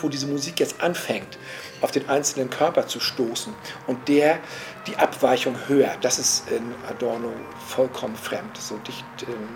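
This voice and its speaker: Man talking analytically, music behind. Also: mid-range voice. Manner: halting, searching for words, adding tag questions. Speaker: cultural commentator and jazz enthusiast. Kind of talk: spontaneous analytical talk.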